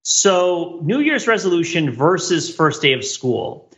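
Higher-pitched man, analytical and discursive. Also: very animated, measured pace, fairly bright tone, highs cut off. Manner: analytical, discursive, thinking aloud. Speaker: podcast co-host. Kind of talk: casual panel chat.

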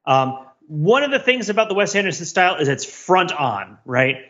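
A man's voice: high-pitched, big pitch swings, moderate pace, fairly bright tone, lo-fi sound. A man co-hosting a podcast, discussing film analytically in a wordy, thinking-aloud way, often repeating himself and checking in with "right?". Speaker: podcast co-host.

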